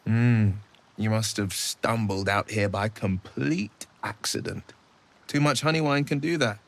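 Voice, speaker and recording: An actor playing a gallant, earnest young warrior hero in a video game, courtly and gently teasing in his speech. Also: fairly low pitch, measured pace. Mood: playful, teasing. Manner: courtly, eloquent phrasing with soft hesitations. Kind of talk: video game dialogue acting.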